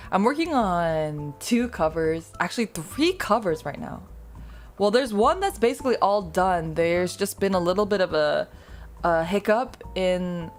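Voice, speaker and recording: Lowish-voiced woman streamer just chatting, rambly, jokes on herself, faint music behind. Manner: rambling, self-deprecating, laughs often. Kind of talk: casual chat with viewers.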